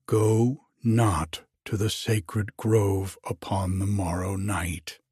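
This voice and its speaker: A man's voice: on the low side, steady tone, takes it slowly, darkish tone, breathy edge, no room sound, clean studio sound. A man voicing an anguished young lover in a storybook tale, pleading fearfully in archaic, tremulous speech.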